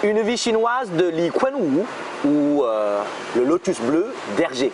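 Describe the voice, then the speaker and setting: A man's voice: a little high, lively intonation, slow pace. A man interviewing a guest on a city street, asking short, friendly questions. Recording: street noise behind.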